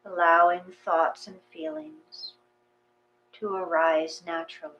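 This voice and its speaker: Slow, gentle woman leading meditation. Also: on the low side, sing-song, muffled recording. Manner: gentle, unhurried, soothing phrasing with pauses. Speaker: guided meditation leader. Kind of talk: read guided meditation.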